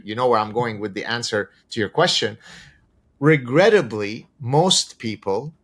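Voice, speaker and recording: A man whose first language is Arabic, explaining ideas as a podcast guest in a deliberate, professorial way with rhetorical questions. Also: medium pitch, a bit bright. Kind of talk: conversational explanation with examples.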